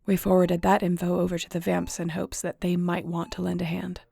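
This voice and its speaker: A woman's voice: on the low side, monotone, fairly quick, vocal fry, dark tone, breathy edge, full character voice. A woman acting in an audio roleplay as a weary, guilt-ridden shifter investigator, speaking in a somber, conversational, confiding way. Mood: matter-of-fact briefing.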